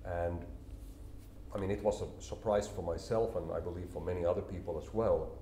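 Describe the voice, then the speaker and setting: A man, a company CEO explaining his work calmly and in a measured way in an interview. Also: low voice, a little hiss or hum. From Finland. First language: Finnish. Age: 40s. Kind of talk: business interview. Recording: roomy echo, faint ambience.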